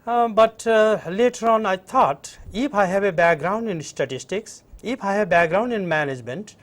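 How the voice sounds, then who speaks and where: Halting Nepali-accented man, slightly high voice. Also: animated. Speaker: interview guest, university professor. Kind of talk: conversational interview, recounting own background.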